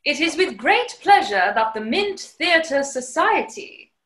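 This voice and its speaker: A woman's voice: sits high, big pitch swings, slow, fairly bright tone, reverberant, full character voice. A woman playing a plucky, proper housemaid who also narrates, in a 1940s-style comedy radio play. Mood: announcing.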